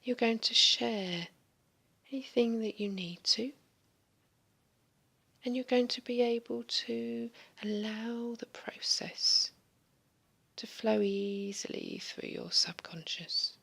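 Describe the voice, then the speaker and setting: A woman guiding a hypnosis session in a slow, soothing, largely whispered voice, giving relaxation suggestions. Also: a little high, fairly bright tone. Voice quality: breathy. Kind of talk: guided hypnosis recording.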